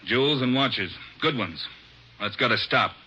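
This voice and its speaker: Fields: an impatient police chief. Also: male voice, medium pitch, lively intonation, bright, forceful tone, breathy edge, heavily acted. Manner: brisk, demanding. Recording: muffled vintage radio broadcast.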